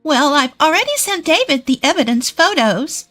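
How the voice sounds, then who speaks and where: A woman's voice: high-pitched, sing-song, moderate pace, a bit bright, soft background music, pitched above the performer's own voice. A woman voicing an older, spiteful mother-in-law in a theatrical storybook manner. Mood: smug.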